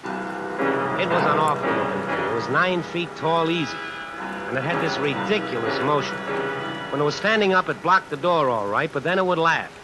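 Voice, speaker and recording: A hard-boiled drifter played by a man tells his tale in a medium male voice. He is wry, slangy and out to settle scores, in classic radio suspense style, with music and rain clearly behind him on a vintage recording. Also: medium pitch, natural voice, low-quality recording. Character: tough, vengeful drifter man narrating his own crime story. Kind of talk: old-time radio suspense acting and narration.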